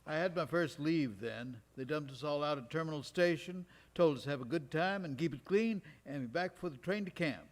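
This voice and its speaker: A man's voice: on the high side, a little fast, hardly any pauses, natural voice. An actor playing a Georgia World War II veteran, telling his memories in a folksy, wry, conversational way on stage. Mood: reminiscing, wry. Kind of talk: live stage storytelling monologue, conversational. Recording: live stage recording in recreation hall.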